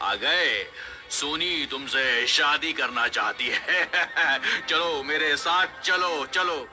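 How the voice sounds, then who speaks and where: An actor playing a creepy, crazed old man in a horror cartoon, menacing and gloating in drawn-out words. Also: fairly high pitch, over music. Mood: gleefully menacing. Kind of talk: acted villain in a horror cartoon story.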